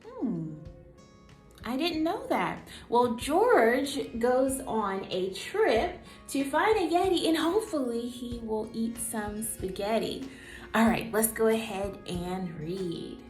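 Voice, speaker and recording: A woman narrates a children's storybook like a teacher at read-aloud time. Her voice is fairly high and very animated, and she takes her time, shaping each phrase expressively over soft music. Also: slow pace.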